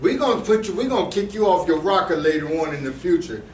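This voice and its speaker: A man with a slightly higher-than-average voice, explaining things loosely and conversationally to a small group in a room. He restarts his sentences often and mixes in street slang and asides to the audience. He sounds distant from the mic in an echoey space with a faint hum underneath. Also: animated, fast. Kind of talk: casual talk to a live group.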